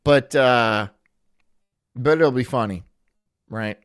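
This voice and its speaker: A young man from Florida chatting casually on a live stream, rambling through jokes and deadpan absurd asides. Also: medium pitch, slow pace, clear, resonant tone, no room sound, studio quality. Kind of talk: casual live-stream chat reacting to a video.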